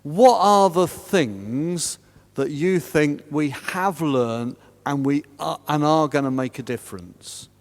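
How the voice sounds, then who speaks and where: Booming man chairing a panel in a church. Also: takes it slowly. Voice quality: big, booming voice. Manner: chatty, self-deprecating asides. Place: large parish church. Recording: long reverb of a big church.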